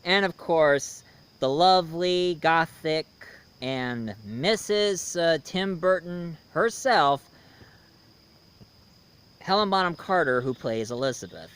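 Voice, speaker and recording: A man's voice: fairly high pitch, expressive, slow pace, a bit bright, smooth. A man giving a casual, rambling movie review with playful, theatrical emphasis. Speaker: video reviewer, voice impressionist.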